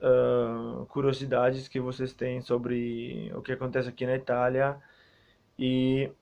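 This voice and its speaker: A man's voice: mid-range voice, monotone, takes it slowly, creaky, a little mellow. A young Brazilian man with a Brazilian Portuguese accent, giving a recorded academic talk on physical education and health, hesitant, with many fillers and restarts. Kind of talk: recorded talk for a student group. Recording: dull lo-fi recording.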